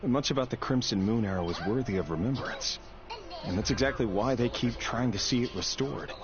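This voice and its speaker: An actor voicing a weary, earnest young man with a secret grim trade, speaking in a measured way for a video game. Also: mid-range voice, lightly acted. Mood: grave, troubled. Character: weary young man, secret royal executioner, tender but brooding. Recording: dull game audio under loud music.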